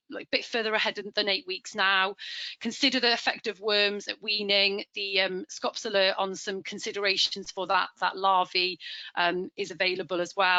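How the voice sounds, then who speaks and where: A chatty woman talking through a webinar with a bright, forward tone. The audio is dry and a little muffled, like an online call. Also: mid-range voice, no room sound. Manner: chatty, restarts often, laughs easily. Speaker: livestock advisor presenting a webinar. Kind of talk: conversational webinar presentation.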